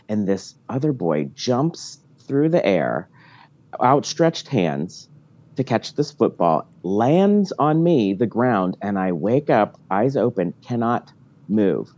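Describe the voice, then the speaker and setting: A woman calling in by phone to tell a personal story, giggly and emphatic with dramatic pauses. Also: very deep voice, mellow. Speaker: podcast caller. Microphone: phone call. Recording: dull, dry phone-call sound.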